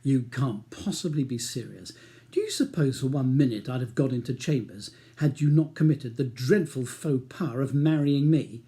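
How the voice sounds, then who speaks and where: A man's voice: mid-range voice, normal speed, dark tone, lightly acted. A man reading a novel, voicing a defensive, weary English barrister husband with an indignant, formal way of speaking.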